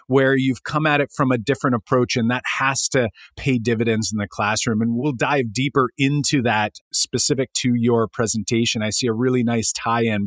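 A man's voice: mid-range voice, fairly bright tone, no room sound. A man co-hosting a teaching podcast, enthusiastic and conversational as he asks questions. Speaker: podcast co-host. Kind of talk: casual interview chat.

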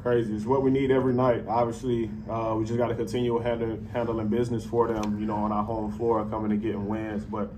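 A man's voice: on the low side, fast talker, few pauses, noisy background. A young man in his twenties answering reporters at a postgame press conference, speaking loosely with many fillers into a table mic. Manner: relaxed, many "you know" fillers. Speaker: professional basketball player. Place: arena press room. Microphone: table mic on stand.

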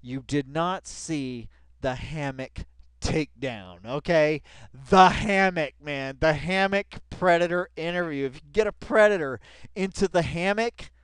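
A man with a fairly high, clean voice in a dry, close recording. He gives casual commentary while reading aloud, rambling excitedly, repeating himself for emphasis and swearing offhandedly. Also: a little slow, smooth, no echo. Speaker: video commentator. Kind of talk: casual read-along commentary of a web article.

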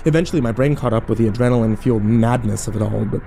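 A man playing an overwhelmed, foul-mouthed young man who narrates his ordeal haltingly in a horror audio drama. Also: on the low side, creaky, mellow, slight background noise. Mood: shaken, bewildered. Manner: halting, self-interrupting, swearing, incredulous.

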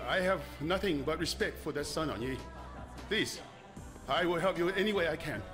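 A man's voice: fairly high pitch, moderate pace, over music, natural voice. A man playing an edgy, self-important businessman in a video game. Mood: nervous, deferential. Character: haughty businessman who turns nervously deferential, male. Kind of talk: video game character acting.

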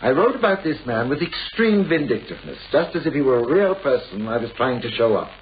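An actor playing an anxious, self-absorbed writer in a radio play, with a British accent and a formal, wordy, hesitant manner. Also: male voice, mid-range voice, big pitch swings, a little fast, lightly acted. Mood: confiding, troubled. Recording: dull, hissy old radio recording.

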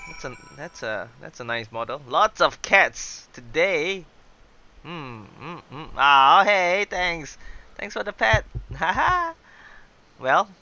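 A very bright, forceful male voice swings up and down as he chats through a game stream. He is playful and exclamatory, pitched a little high. The sound is somewhat dull and lo-fi. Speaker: streamer, virtual avatar host. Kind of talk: casual livestream game commentary, reading dialogue aloud. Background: game music.

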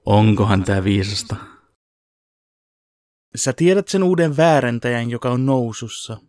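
A man voicing a secretive, self-serious teenage ninja boy in an anime parody dub, with deliberate delivery. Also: mid-range voice, animated, darkish tone, no echo, clean studio sound, natural voice. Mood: grave, confiding.

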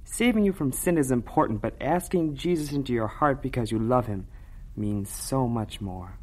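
A man playing a patient, devout older farm brother in a vintage radio drama, gentle and sincere. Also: mid-range voice, animated, normal speed, natural voice.

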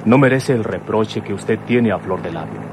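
An actor playing a commissioner's grown son in a radionovela, sober and courteous. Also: male voice, fairly low pitch, moderate pace, lightly acted. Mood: explaining, defensive. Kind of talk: radionovela acting. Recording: faint vehicle noise behind.

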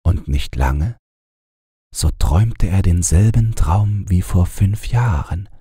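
A male storyteller reads a bedtime fairy tale softly and soothingly. His voice is low, dark and very breathy, and he takes his time. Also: lively intonation, dry recording, studio quality. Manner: gentle, soothing, measured. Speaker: audiobook narrator.

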